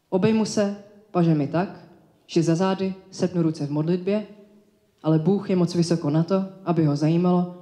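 A woman's voice: lowish voice, warm tone. Woman reciting slam poetry in echoey room. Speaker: slam poet. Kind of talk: spoken-word poetry recital, slam delivery. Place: indoor live event space.

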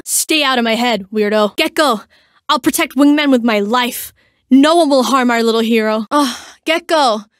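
A woman's voice: sits high, fairly bright tone, no room sound, studio quality. A young woman voicing a cocky, playful female fighter for a video game, with breezy, teasing, slangy delivery. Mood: annoyed. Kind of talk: video game character voice lines.

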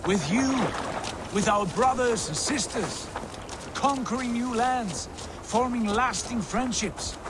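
A man's voice: extremely high pitch, takes it slowly, noisy background. A man playing a hearty, loyal Viking warrior in a video game, speaking earnestly about battle and adventure. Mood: eager, fond. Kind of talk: dramatic video game acting.

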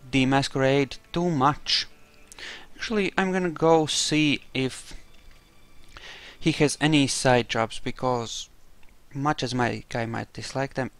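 A man's voice: mid-range voice, a bit bright, clean tone, dry recording. A man giving casual gameplay commentary, muttering asides to himself as he plays. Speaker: gamer commentating on a playthrough.

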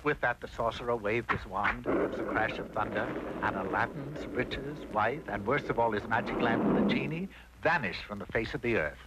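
A man's voice: mid-range voice, animated, moderate pace, hardly any pauses. A man narrating a mock fairy tale in 1960s cartoon style, with a wry, theatrical storyteller delivery. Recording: very noisy old cartoon soundtrack.

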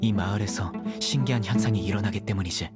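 A man voicing a calm, stern young male judge in a dubbed game, speaking in a measured, dry way. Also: on the low side, loud music behind the voice, natural voice. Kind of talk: game dub voice acting.

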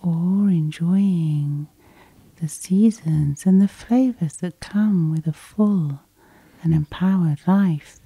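A woman leading a guided sleep hypnosis in a soft, soothing half-whisper, close to the microphone. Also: lowish voice, slow pace, breathy edge, dry recording, rough recording.

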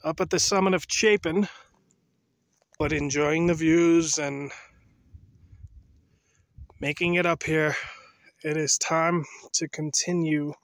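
A man's voice: fairly high pitch, fairly even intonation, dry recording. A man narrating his hike to the camera in a chatty, casual way, a little shaky as he climbs, recorded outdoors on a rocky mountaintop with a handheld camera. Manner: chatty, unhurried, talks to the camera while climbing. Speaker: hiker filming his climb. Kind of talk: casual trail vlog narration. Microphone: handheld camera on a pole. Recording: loud wind noise on an outdoor camera mic.

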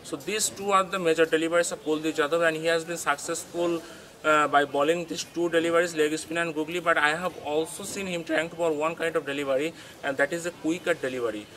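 A young man with a fairly high voice talks a viewer through spin bowling grips, showing each one with his hands. His English is non-native and full of small restarts. He stands outdoors by a concrete wall, with faint outdoor sound behind him. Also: steady tone, fast talker, fairly bright tone, a little hiss or hum, rough recording. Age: young adult. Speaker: cricket coaching channel host. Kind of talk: tutorial talking to camera.

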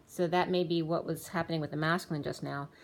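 A woman with a slightly low voice talks through a tarot spread in a loose, rambling way, full of restarts. Her voice is wearing thin and a little hoarse. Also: steady tone, fast talker. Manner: rambling, many restarts and fillers, reads off card names and times. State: losing her voice. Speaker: tarot reader. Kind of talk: casual spoken tarot reading. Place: indoors, room with a table of spread cards.

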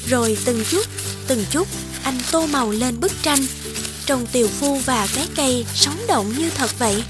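Higher-pitched woman narrating over loud music. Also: expressive, normal speed. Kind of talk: scripted storybook narration for a cartoon. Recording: music behind the voice.

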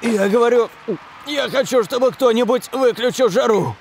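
A man voicing an excitable, grumbling older football commentator in a dubbed cartoon. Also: very high voice, normal speed, a little hiss or hum.

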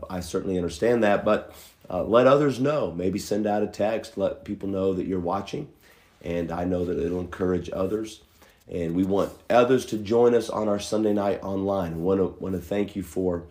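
A middle-aged man, a pastor, giving earnest, folksy church announcements to an online audience from his living room, his voice a little hoarse from a cold, with many filler pauses. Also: low-pitched, mellow.

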